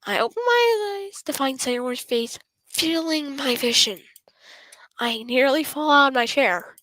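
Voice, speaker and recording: High-pitched woman reading a game aloud, unhurried. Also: animated, bright, forceful tone, dry recording, poor audio. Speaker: streamer reading a visual novel aloud. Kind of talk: expressive reading of game dialogue and narration.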